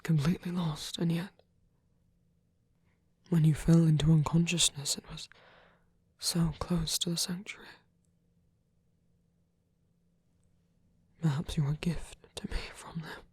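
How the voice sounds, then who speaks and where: A performer voicing a gentle, protective vampire priest in a soft, husky whisper, tender and hesitant, as intimate ASMR roleplay. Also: mid-range voice, level tone, normal speed, long pauses, dry recording. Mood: tender, reassuring.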